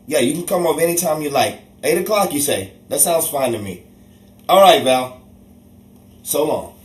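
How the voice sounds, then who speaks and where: A man's voice: fairly high pitch, expressive, normal speed, natural voice. A man acting an exasperated, teasing suburban husband in a 1950s-style radio sitcom, sarcastic and emphatic. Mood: friendly, agreeable. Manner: sarcastic, emphatic, repeats words for effect. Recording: roomy, distant, noisy home recording.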